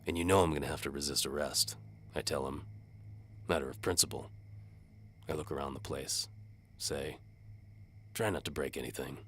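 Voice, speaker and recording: A man playing a sardonic, world-weary medieval bow for hire, narrating in a wry deadpan as scripted audio drama. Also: very deep voice, moderate pace, pauses often, slight background noise. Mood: dry, defiant. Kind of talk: first-person scripted narration, hard-boiled audio drama.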